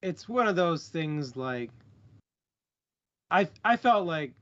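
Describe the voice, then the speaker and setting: Male co-host, slow pace, halting and informal, casual chat, slightly muffled lo-fi audio. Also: on the high side, sing-song, fairly bright tone. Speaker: co-host of a book club stream.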